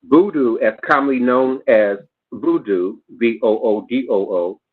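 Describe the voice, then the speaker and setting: A man's voice: mid-range voice, measured pace, breathy edge. A man hosting a show, reading news reports aloud with care, who stops to spell out names and correct his own pronunciation. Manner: careful, spells out names letter by letter. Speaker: host. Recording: phone-like narrow band.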